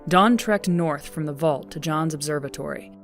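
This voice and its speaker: A woman with a lowish voice narrates a scripted story. She reads as the storyteller of an audio drama, with clear music playing behind her. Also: moderate pace.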